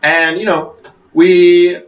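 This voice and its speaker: An American man co-hosting a podcast, making casual announcements and explaining things in a measured way. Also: high-pitched, level tone. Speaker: podcast co-host. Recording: muffled, slightly distant microphone.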